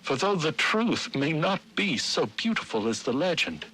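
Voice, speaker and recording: An actor playing a middle-aged Victorian gentleman, a scheming city founder, narrating his journal in formal, dramatic, archaic diction for a video game. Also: a little high, sing-song, normal speed, breathy edge, full character voice, low-quality recording. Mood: solemn.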